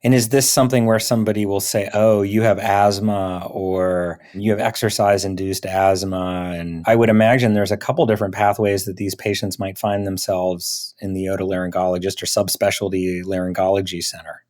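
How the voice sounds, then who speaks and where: A man's voice: sits low, flat delivery, moderate pace, few pauses, darkish tone. A man speaking as a podcast host, conducting an interview with medical guests. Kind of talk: interview hosting.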